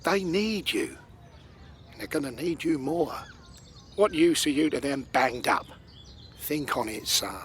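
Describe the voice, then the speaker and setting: A man's voice: on the high side, lively intonation, measured pace, pauses often, a bit bright, a little hiss or hum. An actor playing a weary, fatherly older ex-convict, grave and plain-spoken in a radio play. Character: weary, fatherly old ex-convict giving stern advice. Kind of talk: radio comedy-drama acting.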